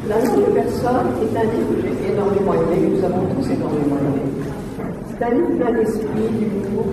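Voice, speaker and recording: A man presenting prize winners at a ceremony, informative and slightly hesitant. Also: sits high. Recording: distant mic in an echoey hall with clear noise.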